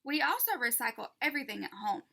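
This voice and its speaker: Female storybook reader: high, bright voice, deliberate and expressive for small children. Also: creaky. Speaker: teacher reading a children's book aloud.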